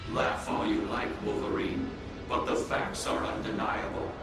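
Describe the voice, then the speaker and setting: Drenched in echo and set far back behind loud music, this is an urbane machine villain acted by a man from a comic-book drama. The computer speaks formally and articulately, coldly grandiose and condescending. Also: measured pace, close to an everyday voice. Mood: grandiose, lecturing. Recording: heavily echoing, distant, loud music behind.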